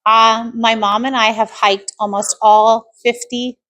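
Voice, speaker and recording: Woman counselor, fairly high pitch, casual chatty answers. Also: flat delivery, measured pace, a bit bright.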